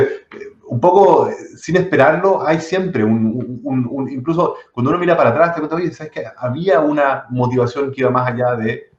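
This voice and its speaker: A man's voice: mid-range voice, darkish tone. A middle-aged man with a Chilean accent, whose first language was not Spanish, speaking as a guest in a live-stream conversation and often restarting his sentences. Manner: reflective, many restarts. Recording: dull roomy echo.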